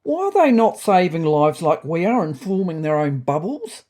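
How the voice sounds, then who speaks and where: A woman's voice: fairly low pitch, big pitch swings, normal speed, mellow. A woman voicing a sarcastic, indignant male cat in an animal story. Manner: sardonic, incredulous.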